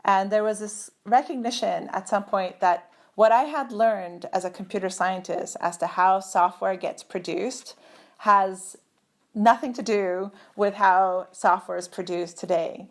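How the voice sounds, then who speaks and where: A woman's voice: mid-range voice, steady tone, unhurried. Thoughtful woman guest on a video call. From Turkey. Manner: thoughtful, many fillers and restarts. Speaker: guest, computer scientist. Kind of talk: conversational interview.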